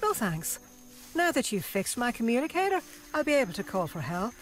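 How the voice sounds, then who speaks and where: A woman playing a calm, firm alien prison librarian in an amateur audio drama. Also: a little high, fairly quick. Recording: reverberant hall with music.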